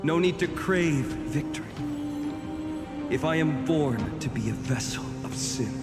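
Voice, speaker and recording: Echoing, solemn young hero. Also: male voice, on the high side, expressive, unhurried. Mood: solemn, resolute. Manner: declamatory, solemn. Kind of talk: dramatic video game voice acting. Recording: echoey long reverb under loud music.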